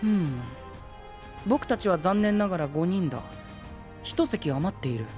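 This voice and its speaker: A princely young knight in an anime audio drama. He is cool, refined and sceptical, with lively pitch movement and a gentlemanly aloofness. Long reverb and music surround the voice. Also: measured pace. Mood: matter-of-fact.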